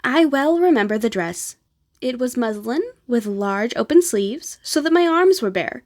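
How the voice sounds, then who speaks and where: A woman's voice: a little high, animated, measured pace, a little mellow. Woman reading as composed, genteel old grandmother. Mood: reminiscing. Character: genteel elderly grandmother recounting memories, plain-spoken and unafraid.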